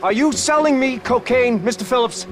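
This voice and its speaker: A man's voice: extremely high pitch, normal speed, over music. An actor playing a nervous undercover male cop who stammers and overdoes his act. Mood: jittery. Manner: jittery, stammering, overeager.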